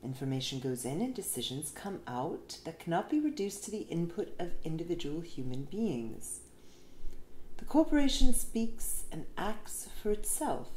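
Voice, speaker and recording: A woman reads an essay aloud in a fairly low voice with lively, swinging intonation. Her delivery is measured, with deliberate pauses. The recording has an echoey room sound. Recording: roomy echo with faint background noise.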